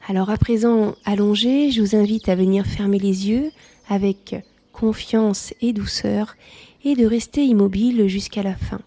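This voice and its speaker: A woman leading a guided yoga nidra relaxation, speaking softly in a calm, soothing manner. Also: mid-range voice.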